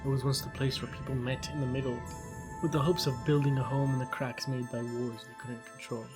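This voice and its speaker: A man's voice: medium pitch, flat delivery, a little fast. A man narrating a scripted fantasy story podcast, with conversational asides. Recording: background music under voice.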